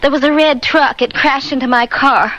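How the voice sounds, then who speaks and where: High-voiced frightened young wife. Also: female voice, fairly even intonation, normal speed, fairly bright tone, low-quality recording. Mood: urgent, afraid. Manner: breathless, anxious, dramatic. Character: frightened young married woman haunted by nightmares. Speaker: actress in a radio play. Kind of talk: 1970s-style radio drama acting. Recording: vintage radio drama with prominent music.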